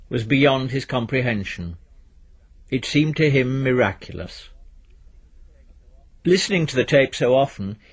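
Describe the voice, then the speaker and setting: Slightly husky man narrating fiction. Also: medium pitch. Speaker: narrator reading fiction aloud. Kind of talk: scripted literary narration.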